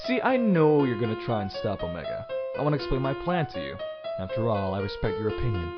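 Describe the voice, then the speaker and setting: A man's voice: on the low side, very animated, quick pace. An actor playing a smug, scheming president in an audio drama, theatrical, condescending and mock-friendly as he poses as the heroic saviour. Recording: dull sound under loud music.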